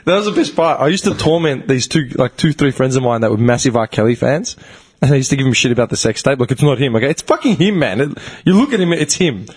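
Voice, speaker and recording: Man in his thirties, husky, lively pitch, blunt, profane, casual talk show banter. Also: fast talker. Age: 30s.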